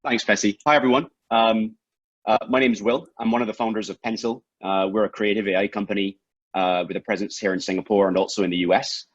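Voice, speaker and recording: A man from Barbados, a startup founder, introducing himself quickly on a video call with frequent "um"s. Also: lowish voice, steady tone, breathy edge, no room sound, dull audio. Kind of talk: self-introduction.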